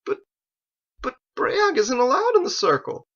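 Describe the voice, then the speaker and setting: A man's voice: extremely high pitch, huge pitch swings, a little slow, fairly bright tone, lo-fi sound, voice pushed up, exaggerated voice. A man voicing an anxious, indignant male squire who protests and pleads with his master in an audiobook story. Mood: pleading. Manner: exclaiming, incredulous, protesting.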